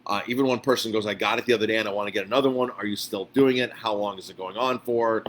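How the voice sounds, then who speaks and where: A man giving a casual, unscripted live update as a restaurant owner, speaking informally and haltingly and often repeating himself. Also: fairly low pitch, fairly even intonation. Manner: halting, repetitive, informal address to followers. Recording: clearly noisy background ambience.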